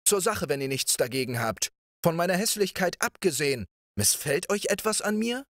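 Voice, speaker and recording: A man voicing a witty, ugly young prince who pleads emphatically with a high, lively voice. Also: normal speed, dry recording, clean studio sound. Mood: insistent.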